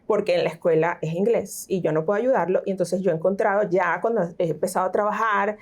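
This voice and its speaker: A middle-aged woman with a Venezuelan accent, a teacher giving an interview and explaining reading and pronunciation with lively examples. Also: mid-range voice, fast. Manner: explanatory, animated, frequent fillers. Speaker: teacher and tutor, interview guest. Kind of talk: conversational interview.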